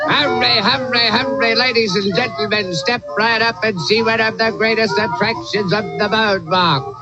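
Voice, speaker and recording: An actor playing a brash carnival sideshow barker in old-time radio comedy, declamatory and brusque. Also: male voice, sits high, steady tone, moderate pace. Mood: showy hawking. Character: brash male carnival sideshow barker, fast-talking showman. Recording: dull vintage radio recording with music.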